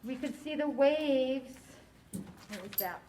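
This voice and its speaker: A woman teaching a science lesson to a class, quizzing her students in a slow, deliberate way. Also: high-pitched, expressive, warm tone, slight background noise.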